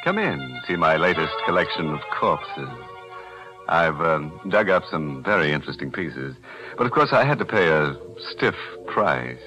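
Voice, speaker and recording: Deep-voiced macabre 1940s radio host. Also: male voice, lively intonation, normal speed. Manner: macabre punning with sly chuckles. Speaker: horror anthology host. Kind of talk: 1940s radio horror hosting. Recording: old radio broadcast with music.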